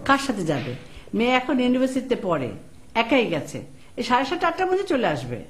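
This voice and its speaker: A woman acting a brisk, reassuring middle-aged wife and mother in a TV drama. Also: a little high, huge pitch swings.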